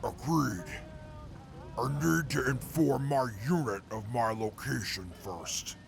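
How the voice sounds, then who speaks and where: A man playing a slow, gravelly, reserved soldier. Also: mid-range voice. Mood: doubtful. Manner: slow, careful, formal. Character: cautious, reserved male soldier.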